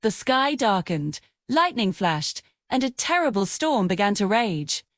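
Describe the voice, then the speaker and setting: A woman storyteller narrating a scripted fairy tale in a measured, dramatic way. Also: medium pitch, big pitch swings, no room sound, clean studio sound.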